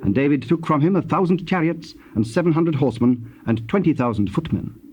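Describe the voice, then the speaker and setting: A man with a British accent narrating scripture in a formal, measured, scripted style. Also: fairly high pitch, expressive, a little mellow. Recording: muffled tape, faint background noise.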